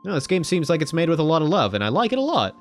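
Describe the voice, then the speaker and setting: A man's voice: a little high, rapid-fire, faint music behind. A man commentating a game playthrough, reading its text aloud and joking, with casual swearing. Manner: jokey, animated, swears casually. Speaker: game streamer.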